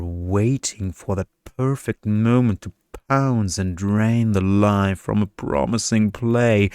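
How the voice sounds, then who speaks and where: Deep, slow male ASMR storyteller. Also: a little mellow, clear, resonant tone, no room sound, studio quality. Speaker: story narrator. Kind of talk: scripted ASMR story narration.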